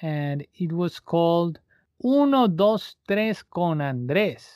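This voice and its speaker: A man's voice: fairly high pitch, lively intonation, takes it slowly, darkish tone, no room sound, studio quality. A man from Colombia with a Colombian accent, a musician talking as an interview guest, friendly and slipping into Spanish phrases. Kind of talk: interview conversation.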